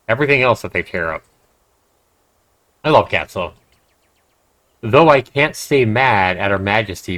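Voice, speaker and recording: A man's voice: deep voice, a bit bright, dry recording, poor audio. A man livestreaming a game, commenting conversationally and emphatically and reading text aloud. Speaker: game streamer.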